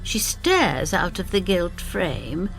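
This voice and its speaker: An older woman reading scripted dramatic narration in the first person, measured, dropping into hushed asides. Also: on the low side, very animated, soft background music, low-quality recording.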